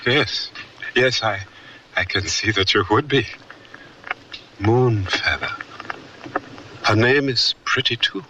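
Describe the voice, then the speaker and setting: An actor playing a polite, nervous, lovestruck newcomer in a radio western, with a hesitant, courteous way of talking. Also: male voice, fairly low pitch, slow pace, long pauses, background noise. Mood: shy, hesitant. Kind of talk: radio western drama acting. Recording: distant old radio broadcast recording.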